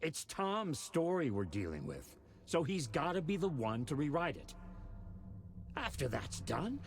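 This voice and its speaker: An actor playing a cryptic, aging rock-and-roll mentor in a video game, making theatrical, rhythmic proclamations. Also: male voice, a little high, big pitch swings, unhurried, slight background noise. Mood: rousing, swaggering. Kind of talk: dramatic video game voice acting.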